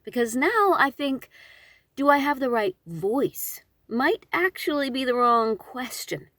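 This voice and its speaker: A woman giving a casual instructional talk, conversational and expressive, with emphatic phrasing. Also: high voice, slow pace, no echo, clean studio sound. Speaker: audiobook narrator and voice actor.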